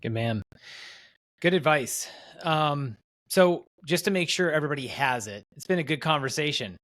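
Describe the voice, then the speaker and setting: A man's voice: fairly high pitch, animated, normal speed, a bit bright. A man hosting a casual podcast interview, easygoing, with short affirming remarks to his guest. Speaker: podcast host.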